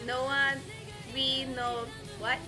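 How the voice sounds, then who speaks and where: A woman's voice: sits high, little pitch movement, very slow. A woman giving a theatrical, exclamatory comedic reading of a story as co-reader. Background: music.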